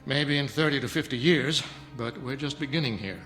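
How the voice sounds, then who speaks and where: An actor playing a stern, arrogant scientist in a film drama, lecturing curtly and defensively. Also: male voice, medium pitch, a little fast, fairly bright tone, faint music behind, close to an everyday voice. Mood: firm, explaining. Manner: haughty, lecturing, curt. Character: stern arrogant male scientist, defensive, guarding secret nanotech.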